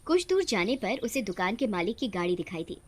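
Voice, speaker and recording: A woman's voice: mid-range voice, sing-song, a little fast. A woman narrating a scripted moral story as a storyteller.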